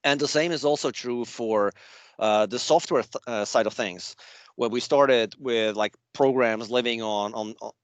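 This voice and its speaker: A man's voice: medium pitch, measured pace, no echo. Chatty Swiss man presenting remotely. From Switzerland. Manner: chatty, loose and self-deprecating. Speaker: guest speaker at a user group. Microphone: remote video call.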